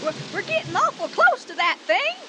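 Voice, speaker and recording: A woman's voice: very high voice, very animated, normal speed. An actress playing a nervous, easily alarmed lady passenger in a radio drama, with a hesitant, fluttery way of talking. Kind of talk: old-time style radio drama acting. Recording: very noisy engine and storm effects.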